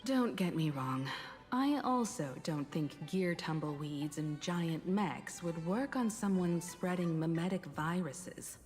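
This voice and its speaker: A calm, learned game heroine with a lowish voice. She explains in measured, assured phrases, with reverb and music around her. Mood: calm, resolute. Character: composed, knowledgeable grown woman, game scholar-strategist. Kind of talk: video game voice acting.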